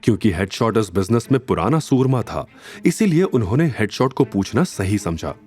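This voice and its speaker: A man's voice: lowish voice, very animated, normal speed, a little mellow, soft background music. A man narrating a scripted audio drama in a dramatic storytelling style.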